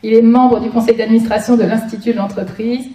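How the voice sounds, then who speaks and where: High-voiced woman lecturing in an echoey room. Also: fairly even intonation, fast, mellow. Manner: wry, sarcastic asides. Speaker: guest lecturer, author. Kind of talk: informal public lecture. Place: conference room before an audience. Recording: echoey room, distant mic, noisy.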